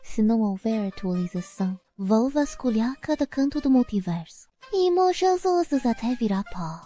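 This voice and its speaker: Haughty motion-comic empress female actor: high-pitched, mellow, formal, menacing authority, music underneath. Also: expressive, normal speed, lightly acted. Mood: threatening. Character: imperious, haughty, threatening female empress of a cultivation world. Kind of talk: dramatic motion-comic dubbing. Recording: music behind the voice.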